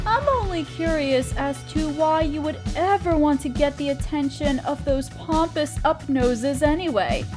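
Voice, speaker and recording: A woman playing a kindly, playful witch in a scripted roleplay audio drama, speaking in a theatrical, coy way with old-fashioned endearments. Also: high-pitched. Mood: disdainful, wry.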